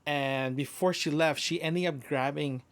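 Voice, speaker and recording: A man hosting a casual audio diary, thinking aloud in a halting, rambling way. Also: on the high side, steady tone. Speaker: podcast host.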